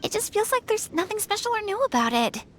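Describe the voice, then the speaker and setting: Casting: chatty, opinionated young local in a fantasy game, high lilting voice. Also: female voice, very animated, fairly quick, slight background noise. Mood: breezy, dreamy. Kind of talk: video game voice acting.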